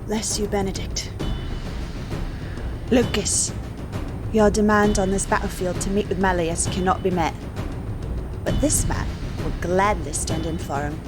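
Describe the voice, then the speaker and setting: An actress playing a firm, commanding army captain in an audio drama, over music. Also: female voice, mid-range voice, steady tone, measured pace, pauses often, natural voice. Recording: music bed under voice.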